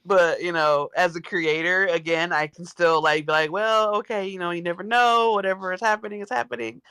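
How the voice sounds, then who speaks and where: A woman's voice: on the low side, steady tone, a little fast, a bit bright, smooth, dry recording. A woman chatting casually as a guest, thinking aloud hesitantly and acting out her own reactions as quotes. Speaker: guest, writer and fan. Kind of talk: casual chat.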